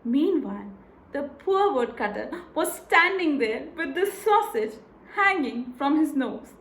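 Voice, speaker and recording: A high-voiced woman tells a children's folktale in an echoey room. She takes her time, speaking deliberately and expressively to bring out the drama of the story. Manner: deliberate, expressive, repeats phrases for effect. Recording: echoey room with faint noise.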